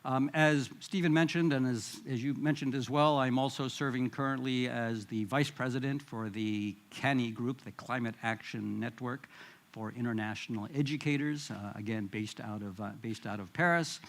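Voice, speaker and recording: A man's voice: mid-range voice, fairly even intonation, normal speed. Midwestern American man presenting at a conference. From United States. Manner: friendly, self-deprecating, with frequent fillers. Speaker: conference panelist. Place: conference hall.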